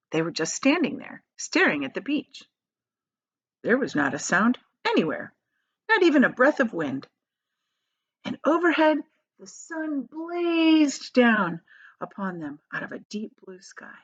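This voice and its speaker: Brief: woman teacher narrating a children's book, lively varied delivery, close dry room, muffled home recording. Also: fairly high pitch, unhurried, pauses often, breathy edge, no room sound. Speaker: teacher reading aloud. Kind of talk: expressive storybook narration.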